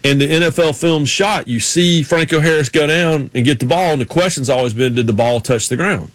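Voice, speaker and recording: A man's voice: a little high, brisk, dry recording. A man co-hosting a sports radio show, chatting casually and telling anecdotes in a self-deprecating way.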